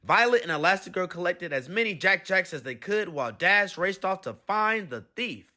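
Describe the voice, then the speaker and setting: A man reading a children's storybook aloud with expressive narration. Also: high voice, normal speed. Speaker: storybook reader.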